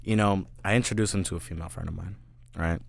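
A man's voice: deep voice, steady tone, fast, creaky, no room sound, clean studio sound. A man in his twenties chatting casually, slangy and loose, saying "bro" a lot and swearing freely. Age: 20s. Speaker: podcast host. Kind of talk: casual chat.

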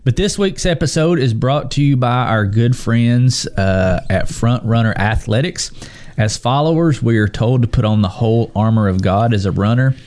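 A man's voice: mid-range voice, normal speed, a little mellow. A man who founded a faith-based running ministry, chatting casually and reading a sponsor spot in an easygoing, joking way with many fillers. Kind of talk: casual chat and sponsor read.

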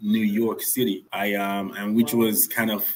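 A man's voice: fairly low pitch, little pitch movement, unhurried, a little mellow, low-quality recording. A young man from Nigeria, a guest on a podcast, telling his story in an earnest way and repeating points for emphasis. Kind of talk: casual podcast interview.

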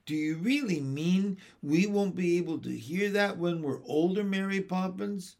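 A man's voice: high-pitched, measured pace, vocal fry, darkish tone, voice pushed up. A man voicing a wilful, upset baby boy in a storybook, with a plaintive manner. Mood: wondering. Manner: plaintive, wailing.

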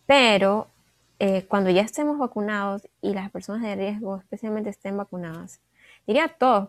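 Woman, twenties, chatty, casual podcast talk, average pitch, dry sound. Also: a little mellow, dry recording. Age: 20s. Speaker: podcast co-host. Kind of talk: casual chat.

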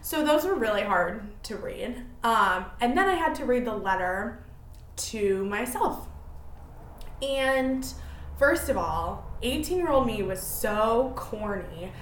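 A woman's voice: fairly high pitch, expressive, slow, a bit bright, roomy sound, slight background noise. A woman, hosting her own podcast and telling rambling, self-deprecating stories in casual chat. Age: 20s. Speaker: podcast host.

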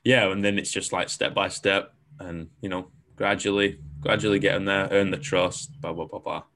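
A man's voice: low voice, level tone, normal speed, a bit bright, a little hiss or hum. A young man hosting a casual interview, talking frankly and rambling with lots of fillers and the odd swear word. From outside New Zealand. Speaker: podcast host.

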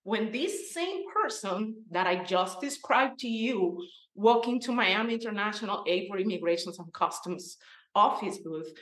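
A woman in her forties from Cuba, with a Cuban Spanish accent, telling her personal story to students in an unhurried way, pausing with ums as she walks listeners through imagined scenes. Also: medium pitch, a bit bright. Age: 40s. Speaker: guest speaker to students. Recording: echoey room.

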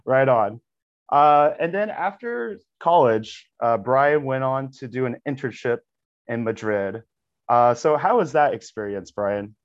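A man hosting a casual podcast interview, relaxed and easygoing, often saying "right on". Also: mid-range voice, a little slow. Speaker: podcast host. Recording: slightly dull lo-fi sound.

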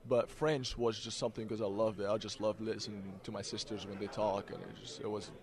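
Soft-spoken young Egyptian man. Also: fairly low pitch, fairly quick, a crowd in the background. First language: Arabic. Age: young adult. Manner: soft-spoken, laughs often, relaxed. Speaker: college basketball player, guest. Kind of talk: interview.

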